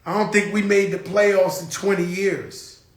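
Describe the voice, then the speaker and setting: A man's voice: high voice, steady tone, unhurried, echoey room. A man hosting his own show, talking in a blunt, profane, rambling casual monologue. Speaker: show host.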